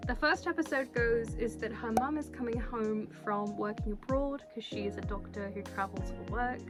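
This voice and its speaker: A young woman hosts a chatty vlog in a fairly high voice. She is expressive and bubbly, with casual slangy phrasing. Music plays clearly behind her. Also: normal speed. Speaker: cosplay video creator and host. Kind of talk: chatty vlog introduction to camera.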